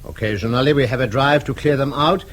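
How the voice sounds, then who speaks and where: An actor playing a courtly, faintly ominous Tyrolean official in a radio drama, formal in manner. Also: male voice, mid-range voice, a bit bright, natural voice. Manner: formal, measured storytelling. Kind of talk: BBC radio drama acting.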